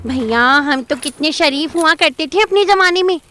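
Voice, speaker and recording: A woman's voice: sits high, moderate pace, pushed and bright, soft background music. A woman playing a gossipy, suspicious middle-aged mother in an audio drama, colloquial and scolding. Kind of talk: Hindi audio drama acting.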